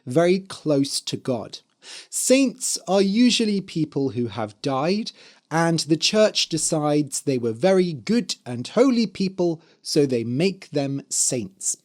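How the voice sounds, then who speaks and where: A podcast host and English teacher with a slightly hoarse, dry voice, explaining things clearly and carefully for language learners. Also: medium pitch, darkish tone. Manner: careful enunciation, spelling words out letter by letter.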